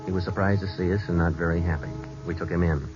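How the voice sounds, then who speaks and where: A deep-voiced police sergeant , played by a man, who talks in short, flat, matter-of-fact lines. It sounds like an old radio drama broadcast, a bit dull in tone, with music underneath. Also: fast, natural voice. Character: terse male police sergeant narrating a case. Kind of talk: 1940s radio drama acting.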